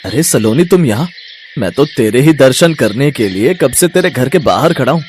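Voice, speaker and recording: A man's voice: medium pitch, lively intonation, normal speed, a little mellow, a little hiss or hum, natural voice. A man playing cheeky young men of a family comedy story, in an exaggerated, teasing manner. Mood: smitten. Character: young Indian men: cheeky suitor, groom, teasing brother-in-law.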